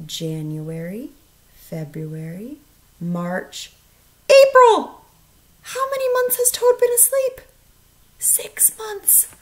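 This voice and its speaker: A woman teacher giving a read-aloud for young children, with very lively intonation and a slow pace. She explains things step by step and often puts questions to her listeners. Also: fairly low pitch, rough recording. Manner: expressive, instructional, questioning.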